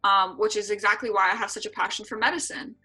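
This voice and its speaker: A woman's voice: mid-range voice, fairly even intonation, fast, pushed and bright, husky. A woman in her twenties from San Diego, answering interview questions earnestly with frequent filler words. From San Diego, California. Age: 20s. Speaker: interview guest, EMT.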